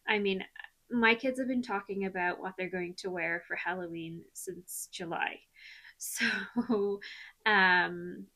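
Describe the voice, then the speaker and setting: A woman in her thirties from Ireland with an Irish accent, chatting in a rambling, relaxed way with lots of ums for a knitting vlog. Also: mid-range voice, slow, gravelly. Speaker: knitting vlogger. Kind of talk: chatty podcast-style vlog.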